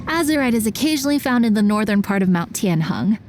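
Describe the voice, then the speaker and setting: A woman's voice: mid-range voice, expressive, fast talker, background noise, lightly acted. A woman playing a friendly, earnest project organizer in a fantasy video game, speaking politely as she explains. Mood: trusting, encouraging.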